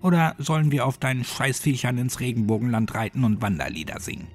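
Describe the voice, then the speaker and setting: A man's voice: mid-range voice, fast talker, natural voice. A man voicing a rebellious, foul-mouthed seventeen-year-old girl, sarcastic and angry, in a horror audiobook. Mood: angry defiance. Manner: defiant, sarcastic.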